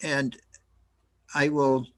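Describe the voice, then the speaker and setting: A man moderating a talk, speaking in a halting, hesitant way with many restarts. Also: mid-range voice, level tone, no echo. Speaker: host.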